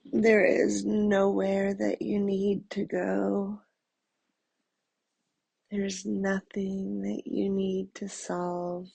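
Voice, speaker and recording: Woman doing guided meditation, super slow and soothing, really creaky soft husky voice. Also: mid-range voice, completely flat delivery, no room sound. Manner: slow, soothing, with long pauses.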